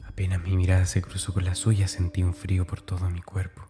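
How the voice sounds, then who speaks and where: A man's voice: deep voice, monotone, fairly quick, loud music behind the voice, natural voice. An actor playing a tormented, fearful schoolboy, narrating his diary in a confiding, anguished, dramatic way. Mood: frightened.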